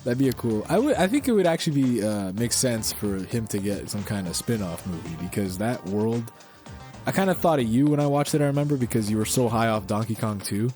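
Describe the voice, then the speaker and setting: Male podcast co-host, lowish voice, casual and opinionated, swears freely, music underneath. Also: animated, brisk, warm tone. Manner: blunt, profane, opinionated. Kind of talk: casual pop culture chat.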